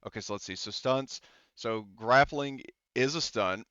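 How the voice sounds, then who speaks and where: A man speaking casually and haltingly as the game master of a tabletop roleplaying game, thinking aloud. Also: medium pitch, pushed and bright, clean tone, no echo, lo-fi sound.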